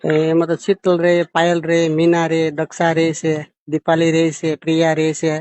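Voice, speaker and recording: A man's voice: a little high, monotone, fairly quick, a little mellow, clear, resonant tone, no room sound. A man talks casually on a private phone call. His voice sits close and dry, and he speaks colloquially, often questioning the other person. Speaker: phone caller. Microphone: phone call recording.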